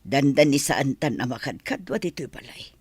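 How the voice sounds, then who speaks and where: A man's voice: medium pitch, expressive, normal speed, husky, no echo, clean studio sound. A man voicing an anxious, plaintive wife and mother in an Ilocano-accented radio drama. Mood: worried, plaintive. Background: music.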